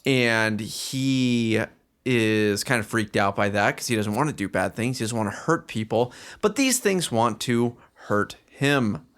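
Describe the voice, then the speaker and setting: A man's voice: mid-range voice, lively intonation, measured pace, fairly bright tone. A man co-hosting a podcast in casual chat, talking in a jokey, colloquial way. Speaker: podcast co-host. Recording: music behind the voice.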